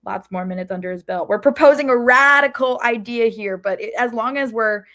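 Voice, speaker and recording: A woman's voice: fairly high pitch, a little fast, a bit bright. A woman co-hosting a soccer podcast in a casual, conversational way. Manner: informal, conversational. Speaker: podcast co-host.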